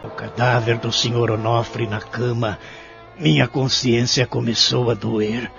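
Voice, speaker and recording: A man's voice: medium pitch, little pitch movement, measured pace, creaky, fairly bright tone. A Brazilian man playing a lonely, remorseful elderly care-home resident who narrates his story in an audio drama, somber and reflective. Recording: dull lo-fi audio with music behind. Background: background music.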